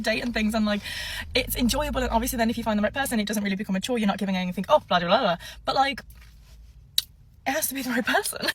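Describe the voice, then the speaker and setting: A woman's voice: on the high side, fairly bright tone, slightly rough, low-quality recording. A woman talking casually to camera, chatty and laughing often. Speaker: social media poster.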